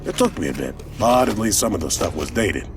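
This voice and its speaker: Older male gumshoe, very low voice, swooping inflection, world-weary, wry, colloquial, audio drama narration. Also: big pitch swings, background noise. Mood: intrigued, musing. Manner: wry, colloquial, deliberate storytelling. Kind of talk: first-person noir audio drama narration. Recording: music under the voice. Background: music.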